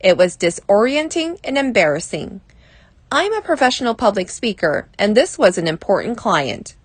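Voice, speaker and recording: A woman reading a personal advice essay aloud as scripted narration, in a measured, deliberate way. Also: mid-range voice, very animated, breathy edge, no echo.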